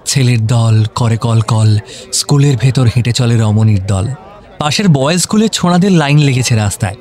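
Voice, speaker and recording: A man's voice: mid-range voice, lively intonation, moderate pace, a little mellow. A man narrating a scripted romantic audio story.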